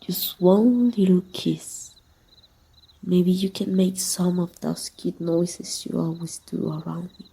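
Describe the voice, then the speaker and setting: A woman from Mexico voicing a teasing, tender female villain in an intimate ASMR roleplay, soft and breathy with some whispering. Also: lowish voice, level tone, slow pace, poor audio. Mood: seductive, intimate.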